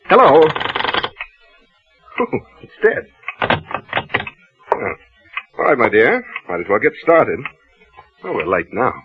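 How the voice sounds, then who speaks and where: A man's voice: mid-range voice, wildly swooping pitch, slow, pauses often, husky, exaggerated voice. A suave husband with a threat under his charm. His voice rises and falls a great deal as he teases and menaces, and the sound is dull and muffled like an old broadcast. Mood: suspicious. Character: smooth, sinister husband toying with his wife. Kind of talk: 1940s radio drama acting.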